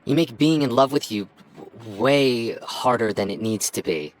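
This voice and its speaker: A young man acting a flustered, bickering but sincere childhood friend in love, in an ASMR roleplay with halting, stammering delivery. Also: medium pitch, slight background noise, lightly acted. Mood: exasperated, sincere.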